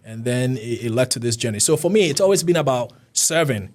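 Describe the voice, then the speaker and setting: A man in his forties talks quickly and earnestly, circling back to repeat his points. His voice sits at an ordinary middle pitch for a man. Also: lively intonation. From Ghana. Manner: earnest, rapid, repeats himself. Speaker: physician guest. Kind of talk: casual interview talk.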